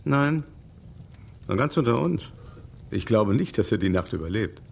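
An actor playing a matter-of-fact, bleakly confiding man in a radio crime drama, with a gravelly voice. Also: on the low side, lively intonation, measured pace, darkish tone, slight background noise.

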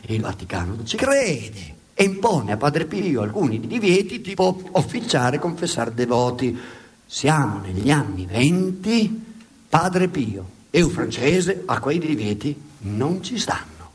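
Animated, ironic male stage storyteller. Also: a little high, measured pace. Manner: emphatic, ironic, repeats words dramatically. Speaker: stage narrator. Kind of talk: satirical theatrical monologue storytelling. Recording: hissy radio theatre recording.

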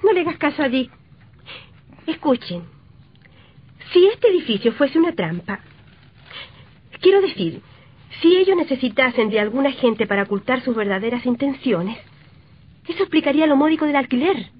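A woman's voice: high-pitched, very animated, normal speed, long pauses, slightly rough, heavily acted. A woman playing an anxious, suspicious, insistent wife in a vintage radio drama. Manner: fretful, insistent. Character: suspicious, anxious, nagging wife convinced of danger. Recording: dull lo-fi old radio recording.

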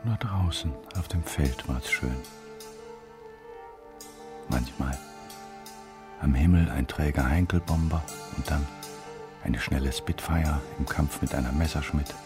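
An old emigrant looking back on a hard childhood. The voice is extremely deep, speaking in brooding fragments over prominent music, as in a radio play monologue. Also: male voice, measured pace, pauses often. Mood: wistful, dark. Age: elderly. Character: old male emigrant recalling a beaten wartime childhood.

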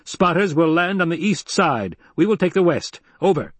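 Man acting out a commanding Duke, pitched high. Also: lively intonation, normal speed, no echo. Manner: barking, clipped military orders. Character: commanding, impatient nobleman, a Duke and military leader. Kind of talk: dramatized audiobook dialogue.

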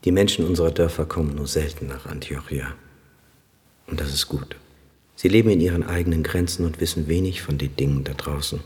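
An actor in a German radio drama playing a grave, cautious Armenian high priest, speaking in a measured, solemn and resigned way. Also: male voice, a little mellow, in a large hall. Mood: reserved.

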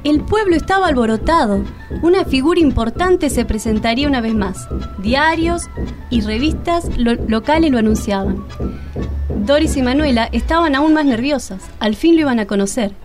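A woman's voice: high-pitched, expressive, moderate pace, a little mellow. A woman reading scripted narration for a radio drama. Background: music.

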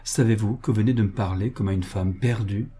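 A man's voice: on the low side, fairly quick, mellow, husky. A man voicing a proud, passionate society lady in love, with emphatic, pleading delivery, in a dramatic reading of a novel. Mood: indignant, earnest.

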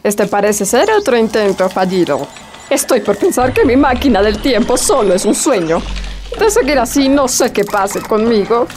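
A woman playing an excitable time-traveling inventor in a school radio drama. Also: a little high, normal speed, faint music behind. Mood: dejected. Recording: noisy amateur radio recording.

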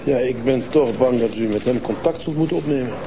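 An actor playing a calm middle-aged police detective who questions people in a measured way, in a Dutch TV drama. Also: male voice, mid-range voice, noisy background, lightly acted.

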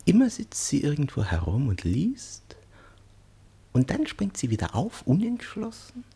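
An actor playing a fat, jealous, downtrodden husband in a radio drama, talking in a meek, plaintive and wistful way. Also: male voice, on the high side, wildly swooping pitch, a little slow, gravelly, warm tone, heavily acted. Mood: furtive, wistful.

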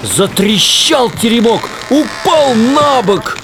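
A man narrating a children's fairy tale in an expressive, theatrical storytelling style. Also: extremely high pitch, slow, background noise. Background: children's music.

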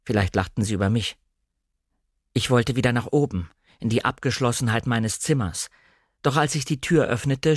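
A male audiobook narrator with a mid-pitched voice reads literary first-person prose. He is composed and careful, and the sound is dry and close. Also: no room sound.

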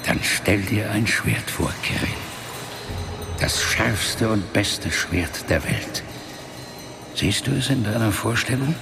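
Ghostly warrior father and mentor: very low, creaky, slow and commanding, music underneath. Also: animated, heavily acted. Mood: instructing. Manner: measured, commanding. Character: dead father speaking from a book, stern ancient warrior mentor. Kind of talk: German fantasy radio drama acting.

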